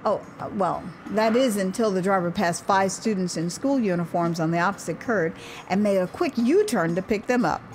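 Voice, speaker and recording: A woman's voice: medium pitch, moderate pace, faint music behind. An American woman narrating her own memoir as an audio drama, in a wry, conversational storytelling manner. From United States. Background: street ambience and vehicle sound effects.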